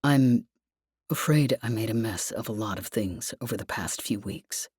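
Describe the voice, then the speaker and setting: Woman playing an awkward, guarded young woman pilot, halting. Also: rumbling low, a little mellow, breathy edge, no room sound, clean studio sound, pitched below the performer's own voice, heavily acted. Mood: nervous, hurt. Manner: halting, muttering, emotionally guarded.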